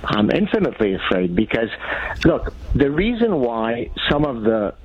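A man's voice: medium pitch, big pitch swings, normal speed, slight background noise. A man from Lebanon, an Arabic speaker, making pointed, emphatic arguments as a radio interview guest. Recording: tinny phone line.

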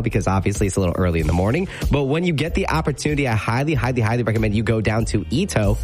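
A man's voice: mid-range voice, darkish tone. A young man from California hosting a morning radio show, chatty and rambling, often repeating words. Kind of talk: casual morning radio talk.

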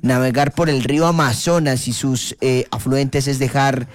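A man hosting a casual radio show, relaxed and chatty with hesitant fillers. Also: medium pitch, normal speed. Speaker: radio host. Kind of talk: casual radio chat with a read travel promo.

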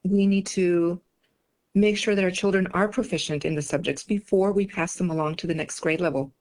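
A woman's voice: fairly low pitch, fairly even intonation, normal speed, a little mellow. A woman from Mexico, Spanish her first language, answering interview questions as a political candidate, emphatic and repeating phrases.

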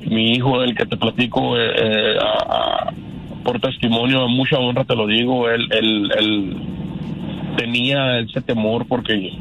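A man in his fifties, from Canada, calling into a radio show and telling his story haltingly, with many restarts. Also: mid-range voice, level tone, fairly bright tone, a little hiss or hum. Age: 50s. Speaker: caller. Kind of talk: phone-in testimony. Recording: phone call on radio.

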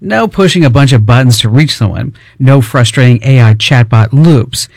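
A man hosting a call-in radio talk show, chatty and upbeat, asking quick follow-up questions. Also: medium pitch, expressive, gravelly, no room sound, clean studio sound. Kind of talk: call-in tech radio chat and ad read.

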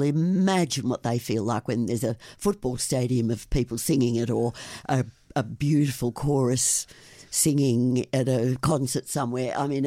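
An older woman, a guest on a radio interview, telling stories about her travels and her blog with warm enthusiasm. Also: very deep voice, dry recording, studio quality. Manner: enthusiastic, animated storyteller. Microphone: studio microphone.

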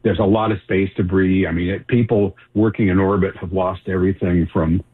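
Husky seventy-year-old man, phone-like sound. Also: low voice, steady tone, brisk. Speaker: expert guest, journalist and author. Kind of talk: casual interview explanation. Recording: thin phone-like remote connection.

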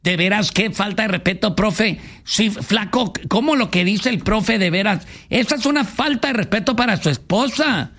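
A Mexican man hosting a comedy radio show, joking and teasing in quick banter. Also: high-pitched, lively intonation, fairly bright tone. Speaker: radio host.

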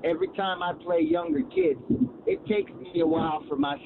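A man's voice: high voice, sing-song, moderate pace, gravelly. A middle-aged man from Ohio calling into a radio show, chatting in a folksy, self-deprecating way. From Ohio, USA. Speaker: caller. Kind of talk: phone-in chat. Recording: tinny phone line with faint hiss.

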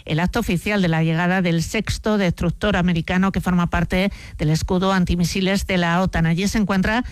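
A woman's voice: on the low side, fairly even intonation, brisk, clear, resonant tone, no room sound. A woman with a Spanish accent from Spain presenting a scripted radio news bulletin.

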